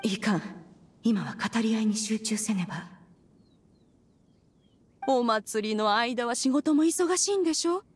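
A woman's voice: on the high side, lively intonation, unhurried, long pauses, slightly rough. A woman voicing a proud immortal lady who poses as a doting auntie in a video game, theatrical and mannered. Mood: haughty, musing. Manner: switches between doting feminine chatter and archaic haughty speech. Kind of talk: Japanese video game voice acting.

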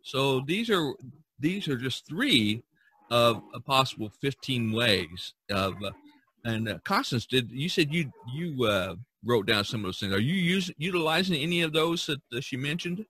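Bright-voiced man chatting as podcast host. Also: medium pitch, sing-song, moderate pace, slightly rough. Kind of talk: casual podcast chat.

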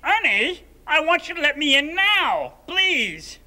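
A man's voice: very high voice, sing-song, slow pace, bright, forceful tone, in a large hall, exaggerated voice. A man voicing a fussy, easily exasperated male puppet roommate who pleads and loses his temper. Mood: pleading. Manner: fretful, pleading, prone to frantic outbursts. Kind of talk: children's TV puppet comedy.

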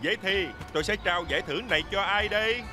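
A man voicing an upbeat, showy young fairground game attendant in an animated children's cartoon. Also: high voice, fast, natural voice. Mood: helpful, upbeat. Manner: showy, encouraging. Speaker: cartoon voice actor. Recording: loud music behind the voice. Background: loud music.

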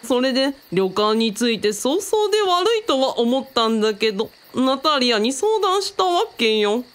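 A woman's voice: high-pitched, big pitch swings, normal speed. A woman playing a self-assured, worldly female mentor who talks in a teasing, feminine way in a radio drama. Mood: matter-of-fact.

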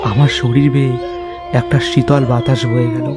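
A man playing a courteous, uneasy journalist who narrates his own horror story in an animated tale. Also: mid-range voice, moderate pace, lightly acted. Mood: uneasy suspense. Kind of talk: first-person horror story narration and dialogue. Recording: faint music behind the voice.

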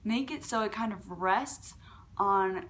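A woman's voice: takes it slowly, a bit bright. Chatty young woman teaching beatbox. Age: young adult. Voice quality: lower voice for a woman. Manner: chatty, with fillers and restarts. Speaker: beatbox tutorial host. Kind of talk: casual video tutorial. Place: large living room at home.

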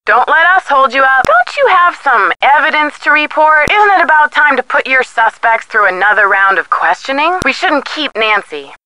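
Bubbly, lively, bright girlish sidekick. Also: sits high, moderate pace, few pauses, exaggerated voice, rough recording. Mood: cheerful, supportive. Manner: chirpy, playful. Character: Bess, bubbly, girlish, supportive young female sidekick. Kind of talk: video game voice acting.

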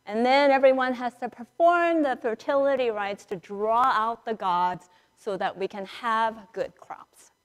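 A middle-aged woman priest giving a conversational storytelling sermon in a large church, acting out dialogue with dramatic pauses. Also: a little high, clear, resonant tone. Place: large church sanctuary, indoors, standing and moving before the altar. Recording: reverberant church hall, picked up in a large space.

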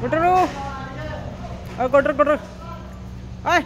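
A woman's voice: sits high, slow, muffled recording, background noise. A woman in her thirties, a travel vlogger, recorded outdoors at night on a hand-held phone and talking playfully to a pigeon. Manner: coaxing, playful baby talk to a bird. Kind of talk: casual vlog narration and talking to a pigeon. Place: outdoors at night beside a parked tractor on a bus trip. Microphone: phone camera held by hand.

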